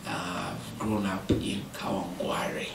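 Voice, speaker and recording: Gravelly low-voiced Kenyan man, roomy studio. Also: slow, recorded from a distance. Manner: relaxed, chatty, mixes in Swahili words. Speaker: guest musician. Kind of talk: casual interview chat. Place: indoor TV studio set, seated at a keyboard. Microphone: microphone on a stand. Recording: roomy studio, voice a little off mic, noisy.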